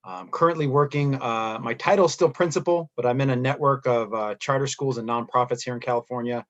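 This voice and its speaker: A man from San Diego, who works as a school principal, speaks in a measured, sincere way and lands firmly on each item he lists. His voice comes through dull, lo-fi audio. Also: medium pitch. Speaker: school principal, panel guest. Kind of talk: unscripted introduction.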